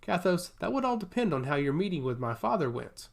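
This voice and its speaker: Guy doing a polite young princess for a fantasy story, kinda high and lilting, very proper and sweet. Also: sing-song, fairly quick, creaky, exaggerated voice. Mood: polite, firm. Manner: formal, courteous phrasing. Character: gleeful, polite young princess, dutiful and pleasant.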